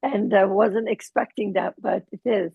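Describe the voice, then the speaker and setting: A middle-aged woman with a Lebanese accent, telling her personal story as an interview guest, halting as she searches for words. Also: mid-range voice, steady tone, gravelly, slightly rough, no echo, phone-quality sound.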